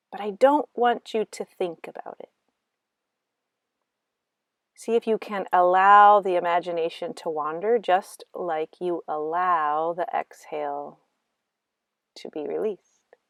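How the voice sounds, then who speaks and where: Female meditation guide, lower-register voice, gentle and unhurried delivery with long pauses, close dry recording. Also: fairly even intonation, dry recording.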